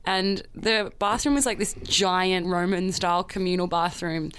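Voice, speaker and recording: A woman's voice: lowish voice, steady tone, measured pace, fairly bright tone, clean tone. Playful Australian woman in casual banter. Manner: playful, tongue-in-cheek. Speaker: podcast co-host.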